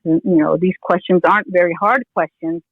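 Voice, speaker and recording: A woman's voice: fairly low pitch, fairly even intonation, fairly quick, no room sound. Woman on a tinny phone line. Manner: rambling, many "you know" fillers and restarts. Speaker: retired police officer, interview consultant. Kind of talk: casual advice in an interview.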